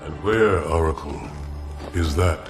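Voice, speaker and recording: A man's voice: very deep voice, measured pace, over music. A man voicing a noble, proud alien warrior in a video game, solemn, formal and resolute. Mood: grave. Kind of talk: video game cutscene acting. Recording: loud dramatic score behind.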